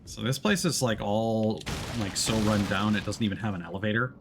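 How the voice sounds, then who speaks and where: A man giving casual live commentary as he plays a video game, thinking aloud in a halting way. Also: on the low side, background noise. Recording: game music behind the voice. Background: game music.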